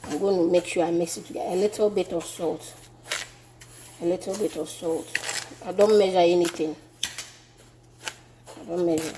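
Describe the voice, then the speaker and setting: A woman with a Ghanaian accent demonstrating a home recipe in her kitchen, relaxed and repeating herself as she works. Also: lowish voice, moderate pace, long pauses, background noise. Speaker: home cooking vlogger. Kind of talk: casual cooking demonstration.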